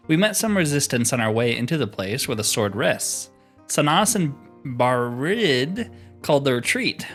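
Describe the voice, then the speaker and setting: A man voicing a gruff, boastful fantasy adventurer from a video game, chatty and self-assured as he tells his story. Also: mid-range voice, a bit bright. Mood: wry, chatty. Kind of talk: reading fantasy game dialogue aloud.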